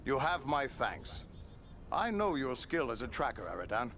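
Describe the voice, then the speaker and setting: Grave fantasy ranger captain. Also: male voice, mid-range voice, sing-song, fairly bright tone, a little hiss or hum. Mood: grateful, measured. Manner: formal, measured, archaic phrasing. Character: grave, dutiful male ranger captain, concerned for his men. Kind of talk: fantasy video game acting.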